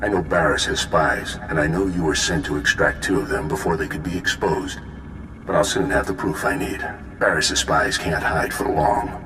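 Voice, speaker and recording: An actor playing a stern, self-righteous Jedi Master in a video game, speaking in a measured, formal and solemn way. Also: male voice, rumbling low, noisy background. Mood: stern, accusing. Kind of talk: video game cutscene acting. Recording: echoey voice over game music.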